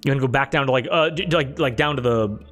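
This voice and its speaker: A man's voice: medium pitch, quick pace, a bit bright. A man improvising narration as game master of a tabletop game, often repeating and rephrasing as he goes. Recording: background music under the voice. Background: music.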